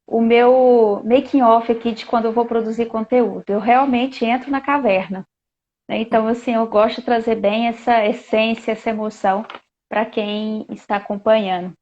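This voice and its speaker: A woman's voice: fairly high pitch, steady tone, normal speed, a little mellow. A Brazilian woman hosting a live online chat, talking casually with plenty of fillers. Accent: Brazilian Portuguese. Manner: chatty, reads viewer comments aloud, many fillers. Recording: dull lo-fi live-stream audio.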